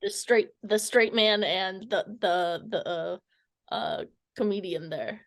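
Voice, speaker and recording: A woman's voice: medium pitch, takes it slowly. A woman interviewer asking casual questions, hesitant and searching for her words.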